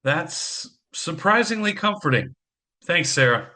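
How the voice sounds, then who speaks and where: A man's voice: a little high, sing-song, measured pace, sharp tone, heavily acted. A man playing a stressed, anxious divorcing father in an audio play, with a wry, self-deprecating and earnest manner. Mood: earnest, grateful. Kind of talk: community theatre audio play acting.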